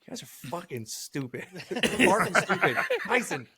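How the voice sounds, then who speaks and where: A man's voice: high voice, measured pace, over a noisy crowd. A man from Missouri co-hosting a fantasy football podcast, swearing and telling stories in crude casual banter. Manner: profane, animated storytelling. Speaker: podcast co-host.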